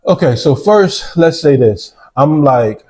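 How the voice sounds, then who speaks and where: Interview guest, man in his forties, warm low-key delivery, storyteller. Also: slow. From United States. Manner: relaxed storytelling with frequent "right?" asides. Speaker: interview guest, real estate developer. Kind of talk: casual interview.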